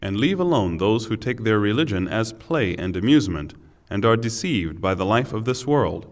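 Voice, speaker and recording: A man reading a scripture translation in a solemn, measured and formal scripted delivery. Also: fairly low pitch, expressive.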